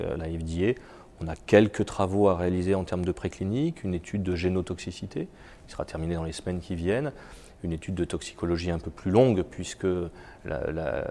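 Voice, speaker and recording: A man with a cold, an executive answering interview questions in a halting way with many repeated words and fillers. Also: low-pitched, warm tone. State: has a cold. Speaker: biotech company executive.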